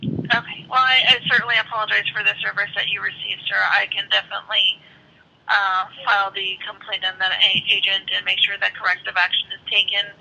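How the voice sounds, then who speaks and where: On a tinny, faintly noisy phone line, a woman with a very bright, sharp voice and some creak handles a customer call. She is polite, apologetic and procedural, like a manager in customer service. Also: mid-range voice, little pitch movement, brisk. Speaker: bank escalations manager. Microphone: far end of a phone call.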